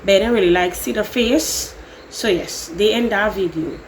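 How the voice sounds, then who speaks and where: A woman's voice: medium pitch, normal speed, a little hiss or hum, rough recording. A young woman from Trinidad and Tobago with a Trinidadian accent, chatting casually and rambling through a get-to-know-me Q&A video. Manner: chatty, rambling, laughs often. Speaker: YouTuber. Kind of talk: casual Q&A vlog.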